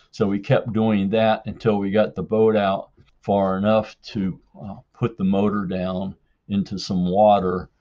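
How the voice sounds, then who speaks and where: A man telling a personal story casually in an unhurried, folksy way, as in a listener's voicemail. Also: on the low side, warm tone. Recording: dull, lo-fi voicemail-like sound.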